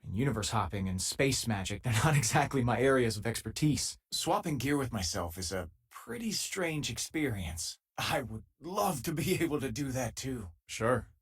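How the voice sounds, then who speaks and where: An actor playing an easygoing, loyal and wry space miner companion in a video game, with a husky, conversational delivery. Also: male voice, mid-range voice, sing-song, normal speed, few pauses. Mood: friendly, casual.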